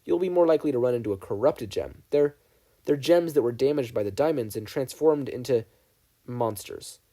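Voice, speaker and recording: Teenage boy soldier, played by a man, earnest, guarded, measured, mellow tone, audiobook character. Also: mid-range voice, expressive. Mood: firm, serious. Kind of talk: fan fiction audiobook character reading.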